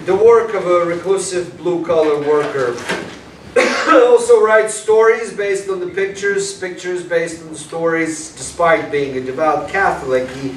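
A man's voice: fairly high pitch, a little slow, lightly acted. A man acting an earnest, anxious young police detective in a staged play reading. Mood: reporting. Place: small hall, staged reading before an audience. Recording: distant, echoey room with background hiss.

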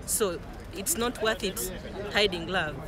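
A young woman gives an earnest, halting video message outdoors in a city square. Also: mid-range voice, crowd noise. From Uganda.

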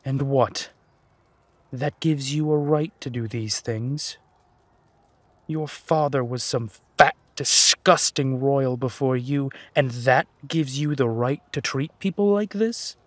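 A man playing a vengeful, ruthless villain in an audio drama, with a husky voice and a deliberate, menacing, mocking delivery. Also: medium pitch, no room sound. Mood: cold anger.

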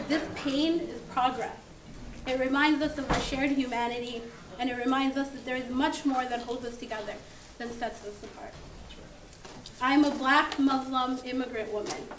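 A young woman from Sudan reading a prepared speech aloud in an earnest, measured, oratorical way. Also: high voice. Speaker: speaker at a democracy event. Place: classroom, indoors. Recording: roomy, distant mic, audible noise.

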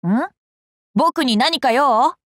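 A young boy king from an anime game, high and very animated in pitch. He is cheerful and curious, with a polite, playfully confident way of talking. The sound is close and dry. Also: very slow, no echo, exaggerated voice. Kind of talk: Japanese anime game character lines.

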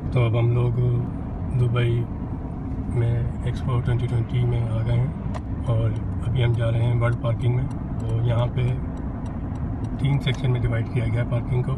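A man in his fifties with a Pakistani accent, narrating a casual vlog in a measured, pausing way with English words mixed in, recorded on a phone in a car. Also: mid-range voice, heavy background noise. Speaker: vlogger. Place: inside a car while driving.

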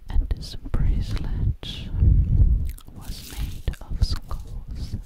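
A woman playing a vain, sinister witch in an ASMR roleplay, whispering breathily close to the microphone and lingering on repeated words. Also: very slow. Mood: quietly sinister.

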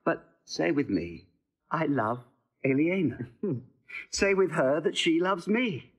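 A man's voice: a little high, expressive, slow pace, breathy edge, full character voice. An actor playing an impulsive young nobleman newly in love, pleading in classical verse. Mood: eager. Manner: eager, pleading. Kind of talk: classical stage acting.

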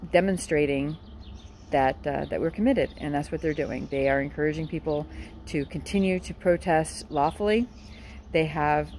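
Earnest woman with a gravelly voice. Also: low voice, a little mellow, slight background noise. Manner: earnest, halting, many fillers and restarts. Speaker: political commentary vlogger. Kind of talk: casual spoken commentary.

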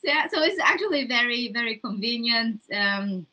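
A woman's voice: fairly high pitch, lively intonation, moderate pace, pushed and bright. A young woman from Vietnam with a Vietnamese accent, chatting casually in an interview over a video call. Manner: chatty, with fillers and non-native grammar. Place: room at home, indoors.

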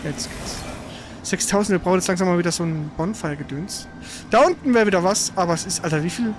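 A man giving casual, slangy let's play commentary while he plays, reacting out loud to the game. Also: high-pitched, fast talker, background noise. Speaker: let's player.

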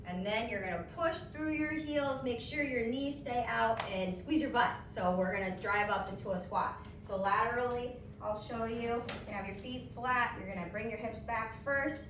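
A woman demonstrating exercises, giving clear, practical coaching cues. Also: on the high side, moderate pace, few pauses. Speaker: fitness instructor. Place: large bare indoor room. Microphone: far camera. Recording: echoey room, distant, some noise.

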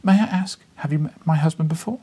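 A man voicing a small, vivacious, anxious wife in an audiobook, giving urgent, brisk warnings. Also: a little high, warm tone, slightly rough, pitched above the performer's own voice.

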